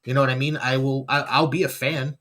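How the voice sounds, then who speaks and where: A man hosting a relaxed podcast chat, his voice bright and forward. He keeps it casual and laid-back, often checking in with his listeners. His pitch is middling for a man. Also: brisk. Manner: animated, laid-back, peppered with "you know what I mean". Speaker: podcast host, rapper.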